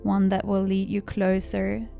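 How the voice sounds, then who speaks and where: Female meditation guide: unhurried, calm, gravelly fry, soothing, music underneath. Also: medium pitch. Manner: calm, soothing, measured delivery. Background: background music.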